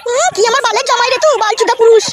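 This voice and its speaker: A man's voice: very high-pitched, racing, very noisy. A man voicing a foul-mouthed, quarrelsome village mother-in-law in a comedy cartoon. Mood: angry scolding. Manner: combative, quarrelsome, swearing.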